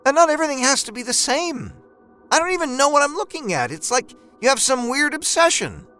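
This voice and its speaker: A man voicing an exasperated, scornful wife who scolds in a sharp, incredulous manner. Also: extremely high pitch, sing-song, brisk, faint music behind, voice pushed up, full character voice. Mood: angry scorn.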